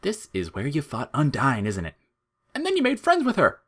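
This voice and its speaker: A man's voice: fairly high pitch, wildly swooping pitch, moderate pace, fairly bright tone, breathy edge, voice pushed up, heavily acted. A man voicing a mocking, smirking, childish flower monster in an audio fiction story. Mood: smug, cruel. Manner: mocking, sneering, theatrical.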